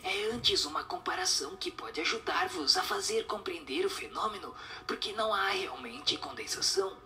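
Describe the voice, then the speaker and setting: A man voicing a solemn spirit who answers questions in a breathy, whispery, didactic way. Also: high voice, lively intonation, pushed and bright, faint music behind, heavily acted. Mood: solemn, instructive. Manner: didactic, measured explanations.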